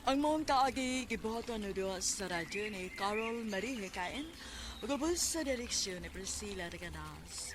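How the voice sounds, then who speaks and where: A woman's voice: mid-range voice, lively intonation, normal speed. A woman with a Cebuano Filipino accent presenting a scripted radio drama programme as its host. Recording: noisy radio broadcast recording.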